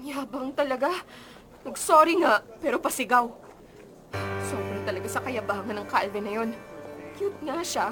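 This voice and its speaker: A woman's voice: a little high, natural voice. An actress playing a feisty, proud young female college student who is easily irked, in a radio drama. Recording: dramatic music behind the voice.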